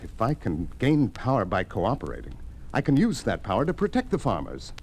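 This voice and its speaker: An actor playing a reluctant, protesting farmer's son pushed into politics in a 1950s radio drama. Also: male voice, lowish voice, wildly swooping pitch, a little fast, darkish tone. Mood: pleading, earnest. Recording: noisy old 1950s radio recording.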